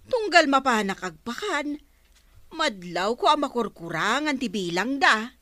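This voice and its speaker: Radio comedy: a sharp, suspicious woman councilor, bright and dry. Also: on the high side, big pitch swings, a little slow, no echo, exaggerated voice. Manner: suspicious, scolding. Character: sharp-eyed woman councilor keeping fish. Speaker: village councilor. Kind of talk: radio comedy drama acting.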